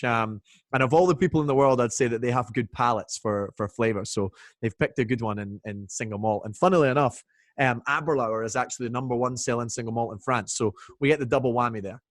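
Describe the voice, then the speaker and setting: A man's voice: medium pitch, no room sound. A Scottish man, a guest on a video call, talking about whiskey in an easygoing, chatty way with plenty of fillers. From Scotland. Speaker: whiskey brand ambassador, guest. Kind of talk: casual interview.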